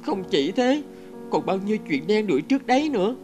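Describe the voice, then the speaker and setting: A woman voicing a superstitious young schoolboy in a cartoon, plaintive and expressive. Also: mid-range voice, fairly quick, close to an everyday voice. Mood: glum complaining. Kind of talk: scripted cartoon dialogue. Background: background music.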